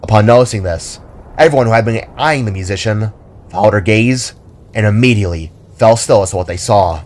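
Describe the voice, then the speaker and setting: A man's voice: on the low side, fairly quick, darkish tone. A man narrating a scripted adventure story in a dramatic style.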